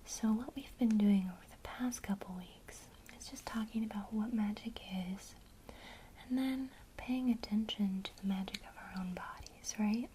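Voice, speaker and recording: Whispering woman teaching witchcraft, mellow. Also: medium pitch, normal speed, a little hiss or hum, poor audio. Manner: soft encouraging whisper throughout. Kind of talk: whispered ASMR lesson.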